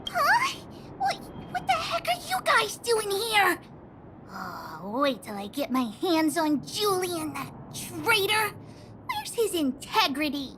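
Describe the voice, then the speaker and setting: A woman's voice: extremely high pitch, sing-song, takes it slowly, very noisy, heavily acted. A woman playing a boastful, bossy little girl leading a kids' gang in a video game, with brash, childish, dramatic delivery. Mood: indignant. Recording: loud music under the voice.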